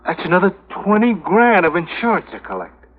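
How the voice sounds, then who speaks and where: Excitable small-time gangster, vintage radio. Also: male voice, high-pitched, brisk. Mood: eager, scheming. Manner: urgent, stammering. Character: anxious, scheming small-time male gangster. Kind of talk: 1950s radio drama acting. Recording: narrow-band 1950s radio recording.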